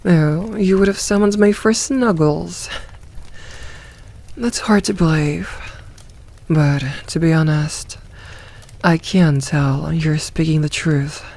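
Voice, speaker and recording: Slow, teasing succubus demoness, played by a woman. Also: sits low, steady tone, a little mellow, heavily acted. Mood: candid, possessive. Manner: slow, soothing, coaxing, teasing. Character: possessive, teasing female succubus demoness, soft dominant. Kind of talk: ASMR audio roleplay.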